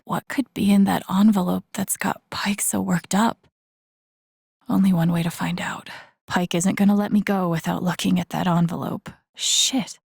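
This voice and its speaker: Husky, troubled young woman, wry. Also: mid-range voice, a little mellow, no echo, clean studio sound. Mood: anxious, upset. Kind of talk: naturalistic video game voice acting, inner monologue.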